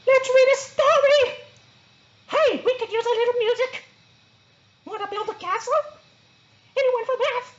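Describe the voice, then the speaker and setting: A storyteller voicing a perky, energetic young female sloth for a children's story, bubbly and eager in manner. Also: very high voice, unhurried, long pauses, highs cut off, pitched above the performer's own voice. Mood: eager, excited.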